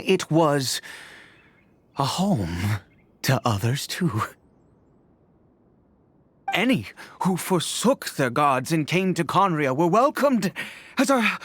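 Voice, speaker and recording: Weary, bitter cursed nobleman, widely swinging pitch. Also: fairly bright tone, breathy edge, heavily acted. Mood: grave, resentful. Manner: theatrical, halting, anguished delivery with sighs. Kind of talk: dramatic video game voice acting.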